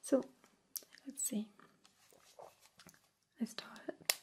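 A woman whispering softly and reassuringly in an ASMR roleplay, playing a kind, caring alien examiner. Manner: soft, slow, reassuring, with gentle repetition. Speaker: ASMR performer.

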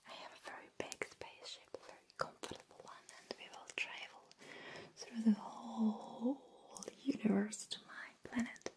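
A woman who is a non-native English speaker, playing a gentle, caring alien visitor in a breathy, soothing whispered ASMR roleplay. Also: on the high side, close to an everyday voice. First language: not English. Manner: slow, soothing, reassuring, with soft giggles. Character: gentle, caring female alien visitor coaxing a human along. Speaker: ASMR creator.

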